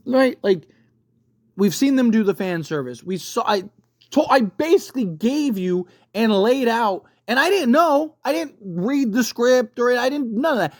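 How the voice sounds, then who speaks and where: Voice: medium pitch, dry recording. Dry-sounding, emphatic casual video commentator. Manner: conversational, emphatic, repeatedly checking in with rhetorical questions. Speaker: YouTube host giving film commentary. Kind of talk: casual opinionated rant to camera.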